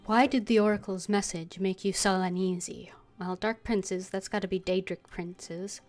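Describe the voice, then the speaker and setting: A woman's voice: medium pitch, fairly even intonation, normal speed, darkish tone, faint music behind. A woman streaming a video game, casually commenting and reading dialogue choices aloud.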